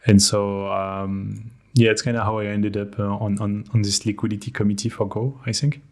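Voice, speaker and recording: A man's voice: fairly low pitch, level tone, vocal fry. French-accented man, candid and wry. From France. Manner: candid, self-deprecating, quick laughs. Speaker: podcast guest. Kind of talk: casual podcast interview.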